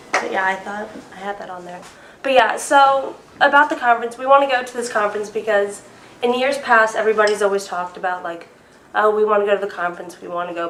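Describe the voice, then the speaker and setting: Young woman, raspy from a cold, chatty, filler-heavy, echoey meeting room, voice heard from a distance. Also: mid-range voice, a bit bright, slight background noise. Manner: chatty, many ums and likes. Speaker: student club representative. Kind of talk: informal pitch to a student meeting.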